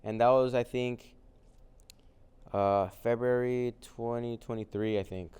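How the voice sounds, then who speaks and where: A young man from Mexico, a native Spanish speaker, answering interview questions in a relaxed way, full of "um" and "like". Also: mid-range voice, measured pace, clean tone. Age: young adult. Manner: relaxed, many fillers like "um" and "like". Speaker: guest, vintage clothing reseller. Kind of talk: casual interview conversation.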